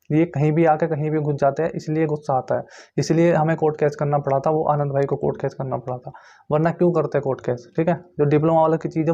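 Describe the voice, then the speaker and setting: A man talks straight to his viewers in an informal explainer style. His voice sits slightly high yet has a soft, dark tone. He hammers points home by repeating them, and the sound is somewhat dull and lo-fi. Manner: repetitive, insistent explaining with frequent check-in tags. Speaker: YouTube host giving exam recruitment updates. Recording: muffled lo-fi recording.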